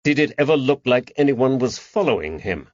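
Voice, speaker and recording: A man playing a patient, careful detective in an audiobook mystery, measured and gently firm as he questions. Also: mid-range voice, big pitch swings, no echo, muffled recording, natural voice. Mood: probing.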